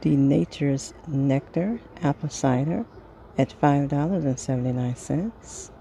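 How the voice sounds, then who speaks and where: A woman casually reading through a sales flyer item by item, pausing between phrases in an unhurried way. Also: low voice, vocal fry, mellow, slight background noise. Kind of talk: casual product read-through.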